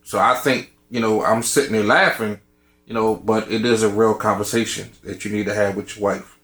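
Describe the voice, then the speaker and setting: A man's voice: fairly low pitch, steady tone, normal speed, a bit bright, soft background music. A man in his fifties in a candid group discussion, speaking frankly and personally. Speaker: discussion participant. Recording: roomy echo.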